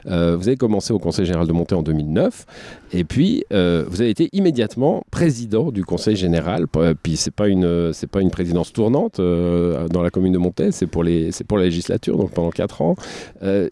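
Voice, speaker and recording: A man interviews in a low, mellow voice, winding through long questions with many "euh"s and restarts. The sound is dry and close, with no room around it. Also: very animated, a little fast, no room sound. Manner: chatty, rambling questions with hesitations. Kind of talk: conversational interview.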